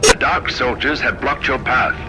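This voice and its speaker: A man playing a sinister bioweapons doctor in a video game, giving formal, deliberate, urgent instructions. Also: medium pitch, over loud music, natural voice. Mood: urgent, commanding. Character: sinister, commanding bioweapons doctor guiding a survivor. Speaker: scientist character.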